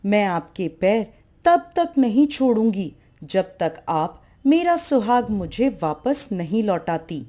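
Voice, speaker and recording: A woman's voice: medium pitch, very animated, takes it slowly, warm tone. A woman voicing a devout, grieving young wife in a folk tale, pleading and insistent.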